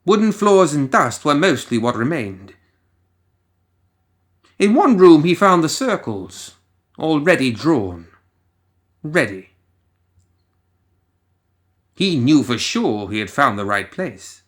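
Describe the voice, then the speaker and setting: A man giving a dramatic, scripted story narration in a deliberate, theatrical manner. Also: fairly high pitch, very animated. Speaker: audiobook story reader.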